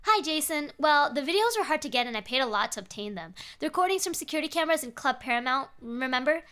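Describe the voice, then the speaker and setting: An obsessive stalker fan, played by a woman reading a horror story, taunts in a high, sing-song voice. The manner is smug and teasing, pushing with playful menace. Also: expressive, quick pace, bright, forceful tone.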